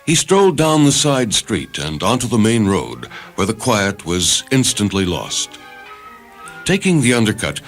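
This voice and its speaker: Low-voiced, expressive male story narrator. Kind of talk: dramatic scripted story narration. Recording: faint music behind the narration.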